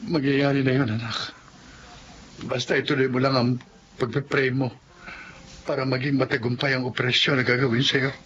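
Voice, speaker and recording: A man playing a steady, reassuring middle-aged father in a radio drama. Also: medium pitch, normal speed, pauses often, lightly acted. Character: steady, devout Filipino father of a sick child. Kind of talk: Filipino radio drama acting. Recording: noisy, dull lo-fi radio drama audio.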